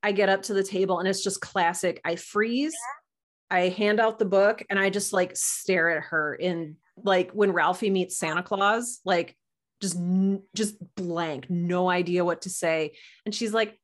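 A woman guest on a podcast, telling personal anecdotes in a casual, animated and halting way over a video call. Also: lowish voice.

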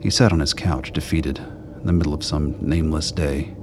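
A man with a very deep, gravelly voice reading scripted narration for a radio play. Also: normal speed, background noise.

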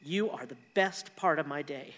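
A woman's voice: sits low, moderate pace, lightly acted. An actress playing a tender, wry, plain-spoken elderly grandmother who carries grief, in a radio play. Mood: tender, sad. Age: older. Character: tender elderly grandmother, wry, grieving, snappish with her husband. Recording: long hall-like reverb.

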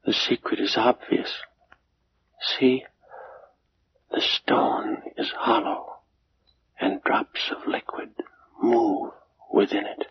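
An actor playing an ancient, world-weary Egyptian man who longs for death, hushed and solemn in the manner of 1940s radio drama. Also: fairly low pitch, steady tone, heavily acted. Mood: quiet certainty. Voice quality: hushed, breathy, creaky whisper. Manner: slow, solemn, resigned. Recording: dull lo-fi vintage radio recording.

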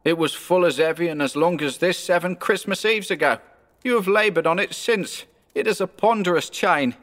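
High, mournful wailing ghost acted out by a man. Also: normal speed, fairly bright tone, in a large hall. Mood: grave, mournful. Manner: wailing, grave, solemn declamation. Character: tormented male ghost, mournful, remorseful and foreboding.

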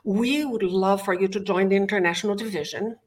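Brief: middle-aged woman, Portuguese-native Brazilian accent, lively storyteller, interview guest. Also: mid-range voice, a little fast, slight background noise. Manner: enthusiastic storyteller. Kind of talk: interview conversation. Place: home office.

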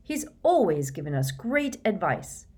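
A woman voicing a defensive, quick-tempered teenage schoolboy in a storybook reading, insistent and terse. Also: fairly low pitch, very animated, darkish tone, breathy edge, exaggerated voice.

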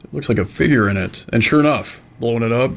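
Guy guest telling stories casually, normal mid pitch voice, investigator type chat. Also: quick pace, vocal fry, a little hiss or hum.

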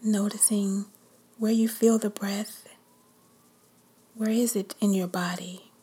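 A woman whispering softly as she leads a guided meditation. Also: mid-range voice, fairly even intonation, breathy edge. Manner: whispered, slow and soothing.

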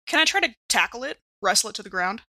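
Woman player at an rpg table, bright voice, really animated pitch, casual chat. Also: fast.

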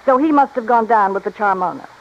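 An actress playing a cold, bitter femme fatale in a 1950s radio drama, dramatic and taunting. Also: female voice, fairly high pitch, fast talker, lightly acted. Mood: bitter, wistful. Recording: muffled old radio recording, faint noise.

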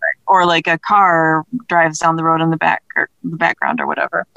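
A woman speaking as an interview guest in casual conversation, thinking aloud in a halting way. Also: deep voice, little pitch movement, creaky, sharp tone, no echo, muffled recording. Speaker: guest, audio drama creator.

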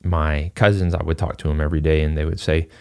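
A man's voice: extremely low pitch, little pitch movement, fast, vocal fry. A man from Singapore, a fitness coach guest on a podcast, talking in a loose, rambling way with lots of "you know" and "like.". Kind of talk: casual podcast conversation.

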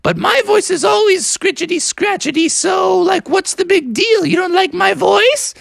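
A man voicing an excitable but easygoing mother frog in a children's storybook, in a scratchy falsetto. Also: normal speed, creaky, no echo, studio quality, heavily acted. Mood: breezy, casual.

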